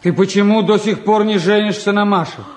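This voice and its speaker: An actor playing a restless, cheeky and candid teenage son in a 1950s Soviet radio play, talking in an impulsive, colloquial way. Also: male voice, sits high, fairly even intonation, fairly quick, slight background noise, close to an everyday voice.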